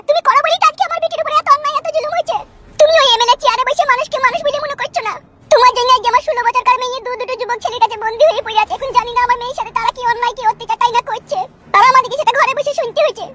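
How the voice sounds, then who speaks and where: A woman's voice: very high voice, very fast, pushed and bright, dry recording. A woman playing an indignant, argumentative village wife and mother in a comic cartoon, with a rural West Bengal accent.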